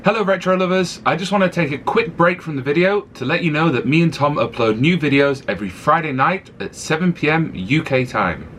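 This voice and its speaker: Higher-voiced man making a channel announcement. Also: animated, brisk, few pauses, a bit bright, slight background noise. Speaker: channel host giving a subscribe reminder. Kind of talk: direct-to-viewer channel announcement.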